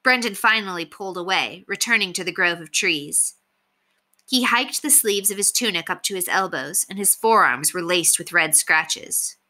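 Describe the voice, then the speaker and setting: A woman narrates a children's novel in an expressive read-aloud style. Her voice sits a little low for a woman and has a very bright, forward edge. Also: normal speed. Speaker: story reader.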